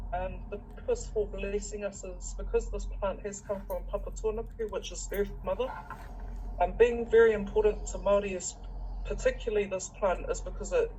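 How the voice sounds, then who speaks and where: A woman's voice: mid-range voice, mellow, a little hiss or hum, poor audio. A woman with a New Zealand accent leading a weaving session, talking in a chatty, encouraging way with many ums. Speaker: weaving facilitator and social worker. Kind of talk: friendly instruction in a group session.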